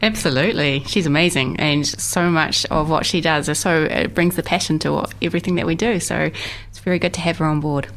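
Female interview guest, slightly low voice, informative and careful in phrasing. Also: fairly even intonation, a little fast. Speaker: interview guest from a volunteer organisation.

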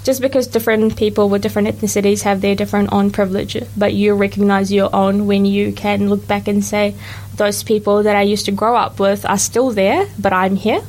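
A woman's voice: medium pitch, level tone, fairly quick, few pauses, darkish tone, rough recording. Young woman hosting a chatty radio show. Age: young adult. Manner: reflective, chatty, lots of agreeing "yeah". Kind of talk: casual radio chat. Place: radio studio.

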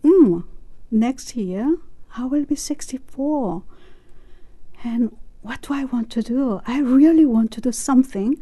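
A woman in her sixties, a club member talking about her club in an interview, speaking haltingly with many pauses. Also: sits high, mellow. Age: 60s. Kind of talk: casual interview chat.

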